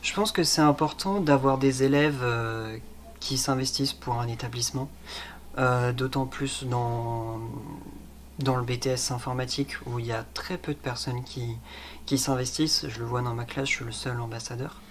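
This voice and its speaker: A man's voice: medium pitch, normal speed, slight background noise. A young man of eighteen, a student ambassador, speaking earnestly with frequent fillers. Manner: earnest, hesitant. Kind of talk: casual testimonial.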